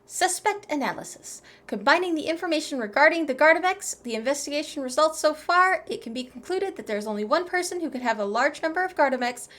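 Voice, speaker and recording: A woman's voice: high voice, expressive, quick pace, hardly any pauses, a bit bright. A woman streamer giving casual gameplay commentary and reading choices aloud. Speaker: streamer playing a game.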